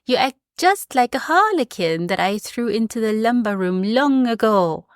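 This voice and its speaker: A woman reading aloud, voicing a lively, bold young boy. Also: a little high, normal speed, smooth, dry recording, studio quality, pitched above the performer's own voice, lightly acted. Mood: cheeky.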